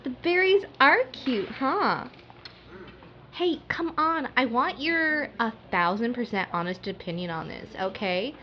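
A woman's voice: fairly high pitch, animated, a little slow. Needy snippy customer girl, whiny, easily offended, audio drama, dull noisy recording. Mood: needy, coy. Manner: whiny, entitled, heavy on "like". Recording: muffled, noisy hidden-bug recording in a shop.

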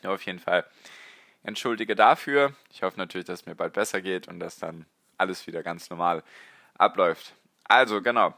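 A man hosting his own finance podcast, talking straight to the listener in a loose, rambling way, his voice a little strained and hoarse. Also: low voice, brisk, sharp tone, no echo, clean studio sound. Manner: rambling, conversational, addresses listener directly. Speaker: podcast host. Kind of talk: casual solo podcast on personal finance.

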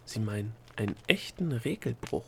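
A man's voice: medium pitch, darkish tone, a little hiss or hum, natural voice. Man voicing a haughty, prim female bureaucrat creature. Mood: coquettish. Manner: haughty, formal, measured. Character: cool, prim, bureaucratic female thought-creature, secretly coquettish. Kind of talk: storybook character dialogue.

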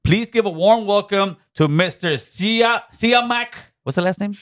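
A male podcast host talks in a loose, upbeat, slangy way, restarting sentences as he banters with his guest. His voice sits a bit high for a man. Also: measured pace, fairly bright tone. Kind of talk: casual live podcast interview. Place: podcast studio.

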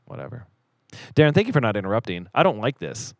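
A man's voice: mid-range voice, huge pitch swings, quick pace, vocal fry, no room sound. A man hosting a podcast in casual banter, rambling and self-deprecating, sometimes mumbling as his sentences trail off. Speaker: podcast host.

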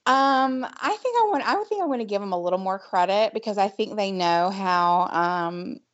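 A woman with a Southern US accent co-hosting a casual podcast, chatty and quick to laugh. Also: medium pitch, lively intonation, dull audio. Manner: laughs easily, chatty with fillers. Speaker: podcast co-host. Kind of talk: casual chat.

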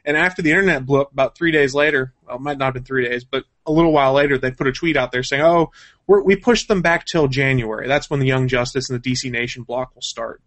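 A man's voice: medium pitch, fairly bright tone. A man co-hosting a show, chatting casually and giving his opinions as a keen fan. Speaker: co-host of a gaming and comics news show. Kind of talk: casual chat about comics and cartoons.

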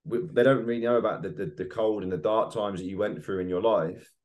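A man's voice: on the low side, steady tone, brisk. A man hosting an interview, asking questions in a casual, encouraging way. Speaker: podcast host.

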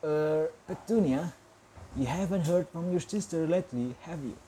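A man's voice: on the high side, moderate pace, warm tone, a little hiss or hum, voice pushed up, lightly acted. A man doing a nervous, mumbling husband. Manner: hesitant, mumbling. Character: nervous, stiff middle-aged husband.

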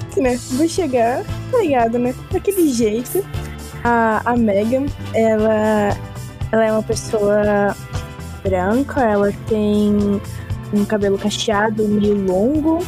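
A woman's voice: a little high, slow, dark tone, soft background music. A woman playing in a tabletop game, describing her character in loose, casual talk full of fillers. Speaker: player.

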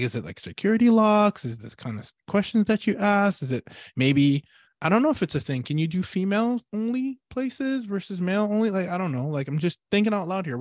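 A man hosting a podcast interview in a casual, rambling way, thinking out loud with many fillers. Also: on the high side, no echo, studio quality. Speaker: podcast host.

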